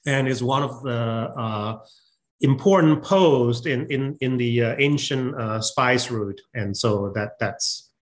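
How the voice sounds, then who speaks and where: Malaysian man explaining in a halting way. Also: medium pitch. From Penang, Malaysia. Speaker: podcast guest, research microscopy center director. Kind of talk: conversational interview, reminiscing.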